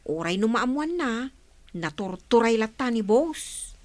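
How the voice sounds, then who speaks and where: A woman's voice: fairly high pitch, sing-song, a little slow, darkish tone, dry recording. A woman playing a worried daughter, questioning, in a radio drama. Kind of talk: Ilocano radio drama acting.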